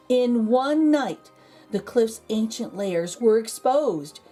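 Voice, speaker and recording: Expressive high-pitched woman reading a storybook. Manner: expressive, dramatic emphasis with pauses and hushed asides. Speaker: read-aloud host and storyteller. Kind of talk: children's picture book read-aloud.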